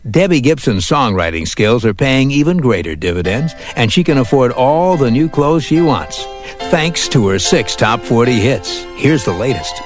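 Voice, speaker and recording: Lively radio countdown host over music. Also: male voice, medium pitch. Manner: lively announcer delivery. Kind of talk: scripted radio countdown narration. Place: radio studio. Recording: music playing behind.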